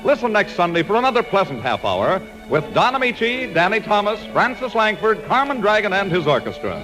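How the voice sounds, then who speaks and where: A male American announcer in 1940s radio style, pitched high and very animated. He reads from a script over music on a vintage broadcast recording. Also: a little fast, poor audio.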